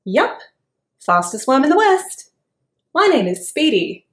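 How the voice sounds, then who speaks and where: A woman's voice: high voice, very animated, unhurried, reverberant, voice pushed up. A woman voicing a cheerful, friendly little worm in a read-aloud picture book, eager and chatty. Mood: cheery. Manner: bright, eager.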